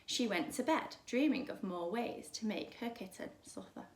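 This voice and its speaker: Female primary-teacher storyteller, medium pitch, expressive and emphatic reading for children, recorded in an echoey room. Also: normal speed. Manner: dramatic, emphatic delivery.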